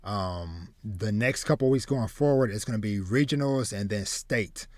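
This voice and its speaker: A man in his thirties hosting a casual podcast, speaking haltingly and often repeating words. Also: lowish voice, darkish tone, dry recording. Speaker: podcast host. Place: small home room with shelves, video call. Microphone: close desk mic.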